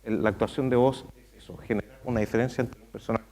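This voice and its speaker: Mellow-voiced bilingual male moderator. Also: mid-range voice, steady tone. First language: Spanish. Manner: halting questions, then fluent translating. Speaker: moderator and interpreter. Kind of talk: hosting a bilingual Q&A. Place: university auditorium.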